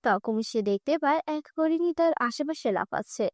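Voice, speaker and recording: A female narrator with a high voice tells a children's fairy tale. Her delivery is scripted storytelling, captured dry and close. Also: lively intonation, moderate pace, dry recording.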